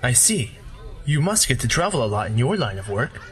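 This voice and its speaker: A man's voice: mid-range voice, brisk. A man voicing an easygoing, friendly drifter and former warrior. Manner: relaxed, friendly, wry.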